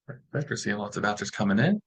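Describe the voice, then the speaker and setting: Low-voiced young Canadian man presenting. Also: sing-song, quick pace, slightly rough. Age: young adult. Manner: friendly, encouraging, reassuring. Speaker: nursing student presenter. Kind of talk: informal presentation to an online meeting.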